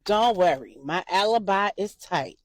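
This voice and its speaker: A woman's voice: mid-range voice, animated, measured pace, close to an everyday voice. A woman voicing a streetwise young man, a loyal crew member with a casual, assured, slangy manner.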